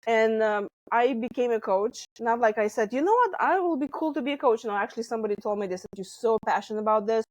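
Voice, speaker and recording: Lithuanian woman in her 40s, coach on a podcast, tells stories with lots of feeling, kinda high voice. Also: fast talker, hardly any pauses, dry recording, low-quality recording. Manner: animated storytelling with laughs and acted quotes. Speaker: guest, stress management coach. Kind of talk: podcast interview.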